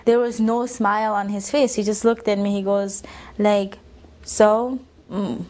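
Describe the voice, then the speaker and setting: A woman's voice: medium pitch, flat delivery, a little slow, a little mellow. A nineteen-year-old woman from Afghanistan and Pakistan, giving informal interview answers. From born in Afghanistan, raised in Pakistan.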